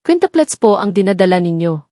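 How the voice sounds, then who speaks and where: Woman acting calm, serious Filipino lady doctor. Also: mid-range voice, no echo, clean studio sound, close to an everyday voice. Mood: grave, gentle. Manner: measured, choosing each word.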